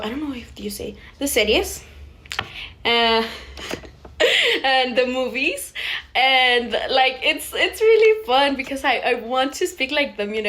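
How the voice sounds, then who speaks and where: A woman's voice: fairly high pitch, a bit bright. A young woman from Mexico, a native Spanish speaker, talking casually in English with a Mexican accent and lots of "like" and "um" fillers. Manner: chatty, many fillers like "like" and "um". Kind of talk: casual personal monologue.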